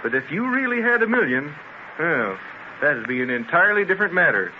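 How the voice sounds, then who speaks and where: A man's voice: fairly high pitch, very animated, brisk. An actor playing a sceptical, teasing young messenger boy in a 1930s radio drama. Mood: doubtful, teasing. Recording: muffled, noisy 1930s radio transcription.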